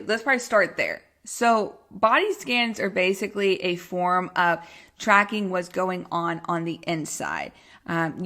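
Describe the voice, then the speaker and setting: A woman's voice: medium pitch, fairly bright tone. A woman podcaster with a Texan accent talks in a bubbly, informal flow. She rambles casually and pokes fun at herself, like a health coach chatting to her regular listeners. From Texas, United States. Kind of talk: casual solo podcast chat.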